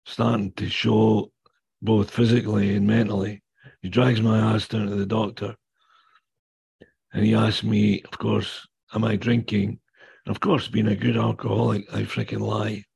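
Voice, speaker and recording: Raspy, gravelly older man. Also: fairly low pitch, darkish tone. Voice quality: raspy voice from damaged vocal cords. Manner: plainspoken, blunt, mild swearing. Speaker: guest speaker sharing recovery story. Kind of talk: personal testimony talk.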